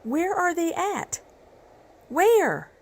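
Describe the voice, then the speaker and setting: A frantic, pleading mother acted by a woman in a comic-book drama. Her voice is high and swoops widely, with drawn-out, slow words. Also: sing-song, exaggerated voice. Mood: alarmed. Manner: insistent, pleading. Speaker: voice actress in a motion comic. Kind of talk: dramatized motion comic dialogue.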